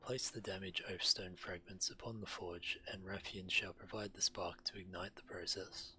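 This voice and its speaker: A man's voice: sits low, fairly even intonation, normal speed, bright, forceful tone, slight background noise. A man streaming a game, reading quest text aloud and adding casual commentary. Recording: game music behind the voice. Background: game music.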